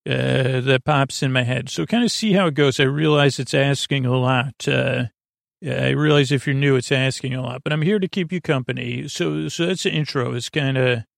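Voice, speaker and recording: Guy doing a sleep podcast, lots of vocal fry, super dry sound, wanders and loses his train of thought. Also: mid-range voice, dry recording. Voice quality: creaky, gravelly. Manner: meandering, halting, drifts off topic mid-sentence. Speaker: podcast host. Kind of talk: rambling sleep podcast monologue.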